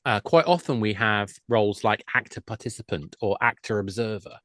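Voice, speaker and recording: A man's voice: on the low side, normal speed, fairly bright tone, no room sound. Explanatory man in a dry recording. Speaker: guest, games industry expert. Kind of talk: panel discussion.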